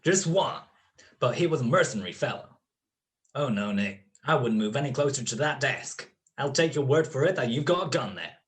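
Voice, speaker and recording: A man's voice: medium pitch, animated, normal speed, lightly acted. A man playing a suave gangster boss in a radio crime drama, mock-courteous and taunting. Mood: amused taunting. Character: suave, gloating male gangster boss with a gun.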